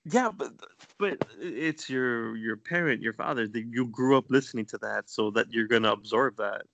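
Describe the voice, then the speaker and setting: A man's voice: mid-range voice, moderate pace, vocal fry, fairly bright tone, dry recording. A man from the southern United States, co-hosting a podcast in casual chat, rambling as he searches for words. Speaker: podcast co-host.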